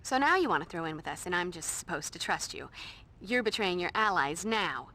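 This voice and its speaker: A woman's voice: lowish voice, animated, a little fast, pushed and bright. An actress playing a tough young female vampire slayer who talks in a dry, sarcastic, commanding way. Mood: wry. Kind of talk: video game voice acting.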